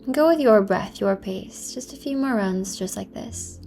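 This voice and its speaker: Female yoga instructor, gentle and encouraging, mid-pitched with noticeable vocal fry, music underneath. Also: normal speed. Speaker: yoga teacher. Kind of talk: guided yoga class with meditative cues.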